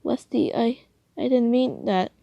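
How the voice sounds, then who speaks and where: A woman's voice: fairly high pitch, expressive, a little slow, gravelly, no room sound, lo-fi sound, heavily acted. A woman playing a protective but hot-tempered brother in a fan story, blunt and scolding in manner. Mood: regretful.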